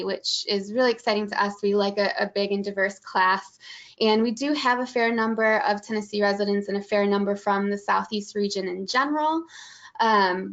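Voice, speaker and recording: Gravelly Midwestern woman presenting a webinar. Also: mid-range voice, fairly bright tone. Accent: Midwestern American (Michigan). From Michigan, USA. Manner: informative, many ums and restarts. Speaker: admissions presenter. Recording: dull lo-fi webinar audio.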